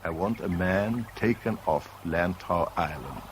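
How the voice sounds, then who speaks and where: Suave radio-thriller villain: lowish voice, arrogant, calculating, urbane condescension, faint broadcast hiss. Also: male voice, measured pace. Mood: coolly persuasive. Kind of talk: 1970s radio drama acting. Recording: hissy 1970s radio broadcast recording.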